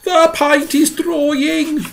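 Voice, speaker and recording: A man voicing a frantic, boastful male centipede with a shrill, strained squeal in a storybook reading. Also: slow, creaky, a little hiss or hum, exaggerated voice. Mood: panicky. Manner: whining, panicky complaints.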